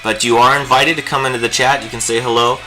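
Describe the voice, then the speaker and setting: A man's voice: mid-range voice, level tone, fast talker, bright, forceful tone, soft background music, poor audio. A young man hosting a live painting stream, chatting in a rambling, jokey way while he works. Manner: rambling and jokey, lots of fillers. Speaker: livestream host teaching digital painting.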